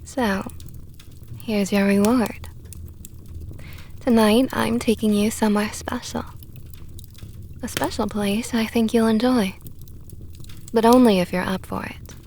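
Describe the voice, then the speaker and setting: A woman's voice: mid-range voice, fairly even intonation, slight background noise. A woman playing a whispering vampire knight. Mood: tender teasing. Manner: slow, soft, often whispered, teasing. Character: possessive flirtatious female vampire knight, protective. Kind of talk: ASMR roleplay.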